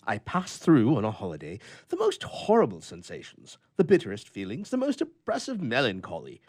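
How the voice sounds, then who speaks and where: A man's voice: medium pitch, huge pitch swings, moderate pace, a little mellow. A man voicing an irritable, lonely bachelor in a storybook reading, with emphatic, indignant, old-fashioned oratory. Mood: gloomy indignation.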